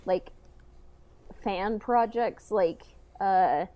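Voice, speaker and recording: A woman's voice: medium pitch, drawn-out words, dull audio. A woman co-hosting a podcast, chatting casually in hesitant, trailing phrases. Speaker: podcast co-host. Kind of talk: casual chat.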